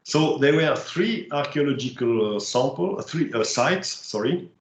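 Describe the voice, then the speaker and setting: A man's voice: medium pitch, lively intonation, measured pace. Hesitant man lecturing in an echoey room. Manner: hesitant, many fillers and restarts. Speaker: scientist giving a lecture. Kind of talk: academic presentation with slides.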